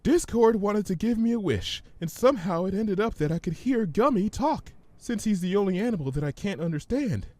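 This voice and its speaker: A man voicing a timid, anxious young female pony in a story, with halting, stammering speech. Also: high voice, lively intonation, dark tone, pitched above the performer's own voice. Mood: distressed. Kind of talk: storybook character acting.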